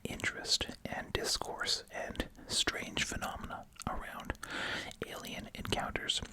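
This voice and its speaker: An ASMR host speaking entirely in a soft, breathy whisper, musing casually while chewing gum. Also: measured pace.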